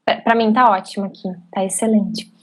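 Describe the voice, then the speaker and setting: A woman's voice: mid-range voice, level tone, brisk. A woman hosting a casual live-stream interview in an effusive, welcoming manner.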